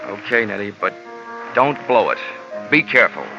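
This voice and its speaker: A man's voice: on the low side, animated, normal speed. A man playing the lead and narrating in an old radio drama, in a dry, wisecracking, hard-boiled manner. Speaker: insurance investigator narrator and lead. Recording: dull lo-fi old radio broadcast with music.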